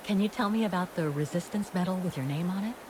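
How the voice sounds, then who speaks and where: An actress plays a calm woman investigator in a narrative game. Her voice is a little low, courteous and measured as she probes, with faint ambient noise behind. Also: natural voice. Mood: inquisitive. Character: polite, inquisitive woman investigator, calm and considerate. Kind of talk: video game voice acting.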